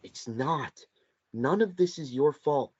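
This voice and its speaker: A man voicing the ghostly memory of a dead lover in a table read of a stage play, speaking in a slow, gentle, earnest way. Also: fairly high pitch, animated, husky, exaggerated voice. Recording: dull lo-fi sound.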